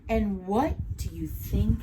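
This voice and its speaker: A woman reading a children's story aloud in a dramatic, drawn-out storytelling manner. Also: mid-range voice, lively intonation, noisy background.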